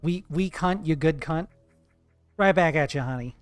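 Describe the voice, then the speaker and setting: Streamer, male, higher-pitched, dry close sound: loose, swearing, teasing chat while he plays. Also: moderate pace, dry recording, soft background music. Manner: chatty, profane, playful teasing of chat. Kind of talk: casual live-stream chat while gaming.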